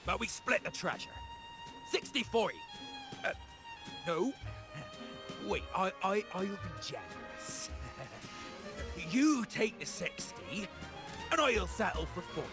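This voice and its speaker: A man's voice: sits high, very animated, slow pace, long pauses, over music, heavily acted. A man voicing a cowardly, greedy pirate captain in a video game, theatrical and wheedling, stammering as he bargains.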